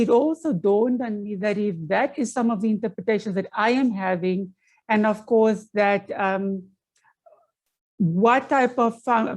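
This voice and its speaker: South African woman, Afrikaans first language, presenting on a video call from home, earnest and measured, restarts often. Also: mid-range voice, a little mellow, poor audio. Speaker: panel presenter. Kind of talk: online conference presentation.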